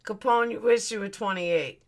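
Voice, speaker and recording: A woman's voice: medium pitch, moderate pace. A woman in her forties hosting a livestream, chatting casually as she reads out and answers viewer comments, blunt and swearing now and then. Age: 40s. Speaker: livestream host.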